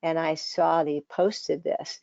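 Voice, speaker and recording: An older woman in her seventies gives a relaxed, chatty conference talk in a low, gravelly, scratchy voice. She often restarts sentences and fills pauses with little hesitations. The recording is dry and a bit dull. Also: no room sound. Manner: conversational, frequent fillers and restarts. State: hoarse, scratchy voice. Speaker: dietitian presenter. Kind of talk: conference presentation.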